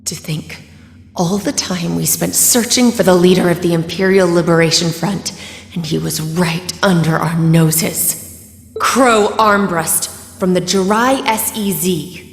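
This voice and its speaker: A woman's voice: on the low side, measured pace, gravelly, faint music behind. An actress playing a composed, reflective young female cadet in a video game. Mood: musing. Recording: echoey hall-like reverb.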